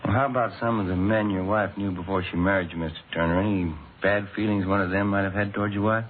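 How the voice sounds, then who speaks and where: An actor playing a mild police detective, low-key and conversational, in a vintage radio drama. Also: male voice, fairly even intonation, fast talker, natural voice. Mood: gentle, questioning. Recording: tinny, noisy vintage radio recording.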